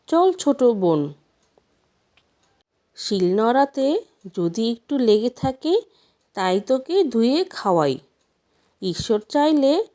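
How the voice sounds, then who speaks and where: A woman's voice: high-pitched, warm tone, exaggerated voice. A woman voicing a kindly queen in a fairy tale, scolding and protective in a motherly, exclaiming way.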